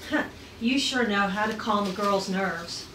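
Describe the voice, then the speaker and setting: A woman's voice: medium pitch, measured pace, natural voice. A woman playing a young, dutiful but dryly sassy rocket navigator in a retro space adventure read-through. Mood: uneasy, dry. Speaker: actor at a script table read. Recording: echoey room, distant mic, noisy.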